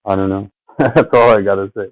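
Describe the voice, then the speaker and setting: A man with a fairly low voice rambles in a loose, meandering way, trailing off and filling pauses with fillers. The sound is dry and close, as if he's sitting at a home computer mic. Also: steady tone, dry recording, rough recording. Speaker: vlogger. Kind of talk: rambling casual chat.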